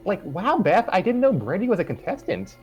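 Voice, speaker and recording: A man voicing an airheaded blonde teenage girl in a high, girlish put-on voice for a story reading. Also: sing-song, a little fast, a little mellow, lo-fi sound, soft background music. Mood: surprised, ditzy.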